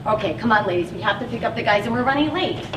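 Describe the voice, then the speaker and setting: An actress playing a patient, kindly van driver in a stage play, coaxing and reassuring. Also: female voice, medium pitch, expressive, racing, natural voice. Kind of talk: live stage play acting. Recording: distant echoey stage, audience noise.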